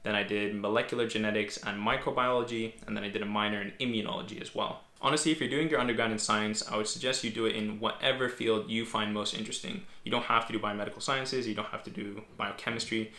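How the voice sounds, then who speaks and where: Bright-voiced young man, echoey room. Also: lowish voice, fairly even intonation, fast, hardly any pauses. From Greece. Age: 20s. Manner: chatty, reads out viewer questions. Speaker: medical student YouTuber. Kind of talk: casual Q&A vlog. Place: small bedroom home office, indoors. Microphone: fixed camera at desk.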